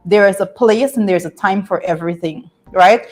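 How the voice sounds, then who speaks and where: A woman's voice: medium pitch, normal speed, a little mellow, soft background music. A woman co-hosting a casual current-affairs chat, speaking emphatically and laughing often. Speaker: co-host.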